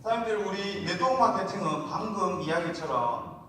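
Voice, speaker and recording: A man's voice: high voice, lively intonation, normal speed, background noise. A man with a regional Korean accent giving a seminar talk in a chatty, folksy way, often asking the audience to answer back. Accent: Korean regional dialect. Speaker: seminar speaker. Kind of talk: motivational seminar lecture. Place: large lecture hall. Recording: echoey hall, mic heard from a distance.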